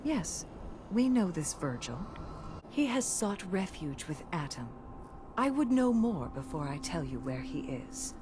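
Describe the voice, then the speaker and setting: A man's voice: on the high side, very animated, a little slow, music in the background. A man playing a solemn, devout cult leader in a video game, speaking formally and reverently. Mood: wary, formal. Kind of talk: video game dialogue acting.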